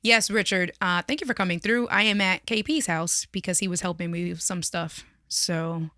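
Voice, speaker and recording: Woman live streamer; bright, dry close sound; playful, laughing banter. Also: fairly low pitch, fairly even intonation, moderate pace, no room sound. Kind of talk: casual chat on a livestream. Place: friend's home studio.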